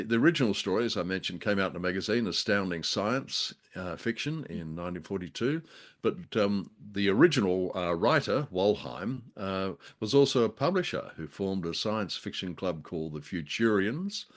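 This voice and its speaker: A man co-hosting a radio show, chatting casually about old drama in a halting, wry, bookish way. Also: low voice.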